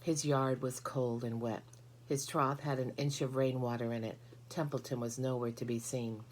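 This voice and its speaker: Woman reading a kids book out loud, deepish voice, slight hum in the background. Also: steady tone, normal speed. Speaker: storybook reader. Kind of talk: scripted children's story narration.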